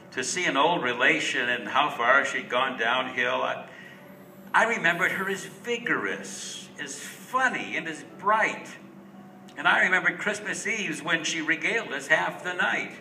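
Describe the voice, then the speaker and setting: A man giving an expressive, dramatic recitation of a rhyming story, with deliberate pauses. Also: fairly high pitch. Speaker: reader of a Christmas verse story. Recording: roomy, distant mic with faint noise.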